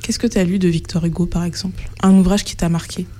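Low, mellow woman interviewer. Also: fairly even intonation, fast. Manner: rephrases answers, frequent "en fait" fillers. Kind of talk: conversational interview.

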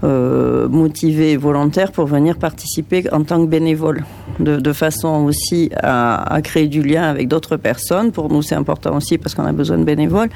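A woman coordinating a community cooking project, explaining it slowly with many filler pauses. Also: low-pitched, creaky, mellow, slight background noise. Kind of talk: interview in a kitchen.

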